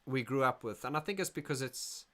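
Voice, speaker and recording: A man's voice: medium pitch, expressive, a little fast, fairly bright tone. A middle-aged man from South Africa hosting a casual livestream, reading viewer comments aloud and rambling with frequent restarts. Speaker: livestream host.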